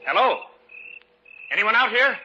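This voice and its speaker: An actor playing a wary, good-humored fishing buddy who tells an eerie tale in a 1940s radio drama. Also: male voice, high voice, very animated, a little slow, pushed and bright, soft background music, full character voice. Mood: uneasy, grave. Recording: muffled old radio recording.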